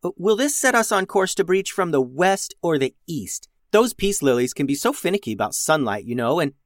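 A man's voice: a little high, big pitch swings, fairly quick, no echo, studio quality, voice pushed up. A man voicing a mild, fussy starship crewman with a hesitant, rambling manner in a children's story.

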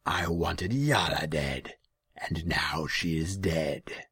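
A man voicing a scornful, imperious Rakshasa demon in a fantasy story, drawing words out slowly and contemptuously. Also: rumbling low, fairly bright tone, husky, dry recording, exaggerated voice. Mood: cold menace. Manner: slow, drawn-out, contemptuous.

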